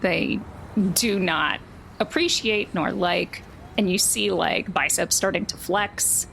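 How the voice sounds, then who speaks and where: Creaky-voiced woman running a tabletop game. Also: on the low side, slow, fairly bright tone, slight background noise, low-quality recording. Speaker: game master. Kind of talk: casual narration of a tabletop game.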